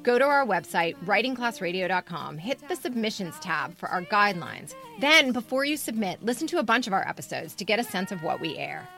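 Bright, forceful woman podcast host. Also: mid-range voice, big pitch swings, a little fast, gravelly, hardly any pauses, faint music behind. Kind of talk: casual co-host chat and scripted show outro.

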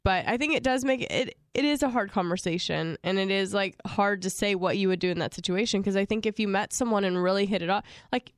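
A young woman, co-hosting a podcast and rambling through casual talk full of fillers. She sniffles now and then from allergies. Her voice is a middling pitch and recorded dry and close. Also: fairly quick, few pauses, clean tone, dry recording. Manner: chatty, rambling, lots of fillers. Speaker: podcast co-host. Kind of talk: casual chat.

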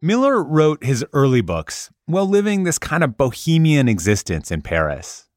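A man narrating a podcast in a mid-range voice that rises and falls with lively, playful emphasis. The sound is dry and close, as if from a studio booth. A faint music bed runs quietly underneath. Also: on the high side, moderate pace, dry recording. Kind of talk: scripted narration.

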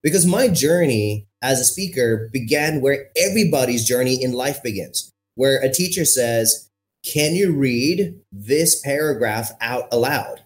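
A man in his thirties from India, a podcast guest telling his story in an animated way, with emphatic phrasing and pace that keeps shifting. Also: medium pitch, measured pace, low-quality recording. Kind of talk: storytelling interview answer.

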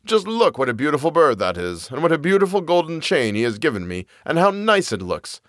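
A man's voice: on the high side, big pitch swings, a little fast, a bit bright, no room sound, clean studio sound, voice pushed up. A man voicing a contented, naive father in a fairy tale, speaking with open wonder. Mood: delighted wonder. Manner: wondering, expansive.

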